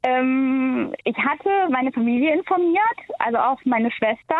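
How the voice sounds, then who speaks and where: Over a narrow, tinny telephone connection, a woman caller talks in a casual, recounting way. Her voice sits a little higher than average. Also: a little slow. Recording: tinny narrow phone line.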